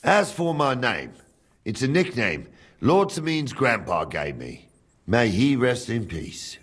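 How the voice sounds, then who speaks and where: A gruff old captain of the castle guard in a medieval video game. His voice is husky and moves up and down freely as he talks, blunt and teasing in everyday speech. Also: medium pitch, very animated, slow pace, a bit bright, heavily acted. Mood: gruff, matter-of-fact. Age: older. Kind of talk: video game dialogue acting.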